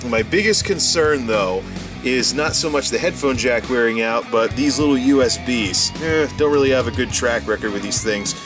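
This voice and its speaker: A man's voice: medium pitch, normal speed. A man talking off the cuff as a gadget vlogger, rambling and wry with playful asides. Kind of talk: casual off-the-cuff product demo. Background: music playing from speakers at times.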